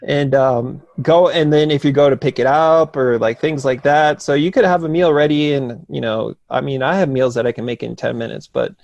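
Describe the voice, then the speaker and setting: Gravelly-voiced man, easygoing chat. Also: medium pitch, fairly even intonation, normal speed, hardly any pauses, a little mellow. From New Jersey. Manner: easygoing, loose with fillers and restarts. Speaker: guest, nutrition coach. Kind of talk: casual conversation.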